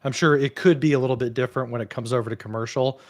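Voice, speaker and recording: Chatty male host, casual and relaxed. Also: mid-range voice, rapid-fire. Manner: relaxed, chatty, peppered with "like" and "you know". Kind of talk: casual chat on a video call.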